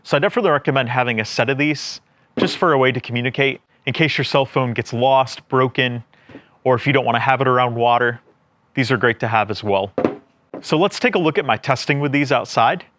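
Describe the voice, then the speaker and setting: A man's voice: medium pitch, fairly quick, fairly bright tone, slight background noise. A man giving a casual, plain-spoken product review, explaining things step by step as a reviewer. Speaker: gear reviewer presenting a product.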